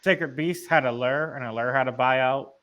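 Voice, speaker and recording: A man's voice: medium pitch, moderate pace, a bit bright. A man chatting casually about card games, blunt and sweary, often repeating himself. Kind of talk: casual card game chat. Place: online group voice call.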